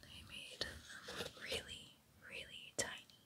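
A young woman in a soothing ASMR roleplay, speaking close to the mic in a breathy, unvoiced whisper and softly repeating words. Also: takes it slowly. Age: young adult. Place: small bedroom, indoors. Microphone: close to the mic, facing camera.

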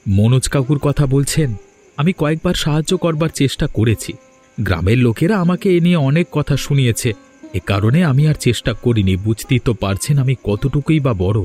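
A man's voice: mid-range voice, animated, a little fast, warm tone, natural voice. A man voicing a polite, helpful young village boy in a cartoon, with an earnest manner. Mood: puzzled, apologetic. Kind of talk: Bengali cartoon voice acting. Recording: faint music behind the voice.

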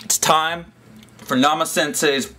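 A young man who is plainly drunk, drawling slowly and cursing at the viewer with a hostile, slurred bite. His voice sits a touch high, and the camcorder is pressed close to his face in a small apartment. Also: steady tone, bright, forceful tone, a little hiss or hum. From United States. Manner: foul-mouthed, hostile, slurring. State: intoxicated. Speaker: YouTuber. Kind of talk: drunken profane video rant. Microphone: camcorder held close to the face.

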